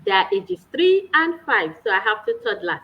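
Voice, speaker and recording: A woman's voice: a little high, big pitch swings, normal speed, muffled recording. A woman, a guest earnestly telling her personal story in a casual chat. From Nigeria. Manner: earnest, warm storytelling with small laughs.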